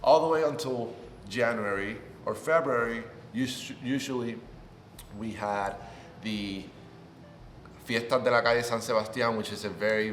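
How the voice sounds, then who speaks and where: A man's voice: fairly low pitch, slight background noise. Chatty young man in an echoey room. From Puerto Rico. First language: Spanish. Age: 20s. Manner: upbeat and chatty, rising with excitement. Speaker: YouTuber. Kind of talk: casual vlog self-introduction. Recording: echoey room with long reverb.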